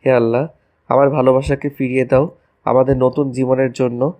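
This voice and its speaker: A man acts out a young husband and new father in a romantic audio drama. He sounds anxious and devoted, speaking in a mid-pitched voice with earnest, tender emotion. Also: level tone, brisk, mellow, lightly acted. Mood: desperate, prayerful. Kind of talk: romantic audio story acting.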